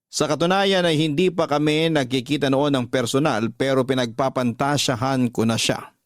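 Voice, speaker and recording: A man's voice: medium pitch, fairly even intonation, moderate pace, no room sound, clean studio sound, lightly acted. An actor playing a young man who confesses an affair, in earnest, scripted first-person radio drama narration. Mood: confiding, unrepentant. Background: faint music.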